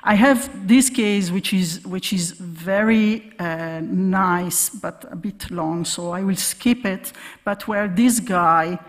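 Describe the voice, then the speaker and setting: A woman giving an academic keynote lecture from a podium, explaining in a halting way with repeated words and hesitations. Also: fairly low pitch. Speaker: keynote speaker, academic researcher. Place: large conference hall stage, at podium. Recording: reverberant lecture hall sound.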